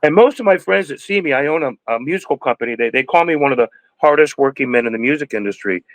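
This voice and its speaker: A man's voice: medium pitch, animated, fast, no echo, low-quality recording. A man in his sixties, a podcast guest, talking in a rambling, preachy way with many restarts. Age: 60s. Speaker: podcast guest, cannabis breeder. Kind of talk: casual interview talk.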